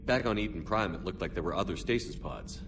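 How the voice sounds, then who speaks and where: A blunt, low-voiced commander. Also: male voice, expressive, a little fast, far from the mic, music in the background. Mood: dry. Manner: blunt, dry, probing questions. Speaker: starship commander questioning an ally. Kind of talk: video game cutscene dialogue.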